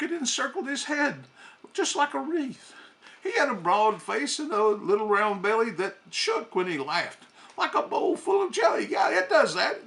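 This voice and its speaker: A man's voice: high voice, fairly bright tone, a little hiss or hum, exaggerated voice. A man playing a jolly old Santa Claus, reading rhymed storybook verse to children in a hearty, playful, sing-song way. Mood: playful, boastful.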